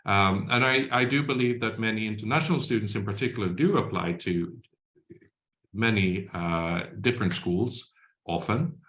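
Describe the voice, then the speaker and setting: A man's voice: fairly low pitch, normal speed, a bit bright. A man moderating an online university Q&A over a video call, with many hesitations and fillers. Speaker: webinar moderator. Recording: roomy echo.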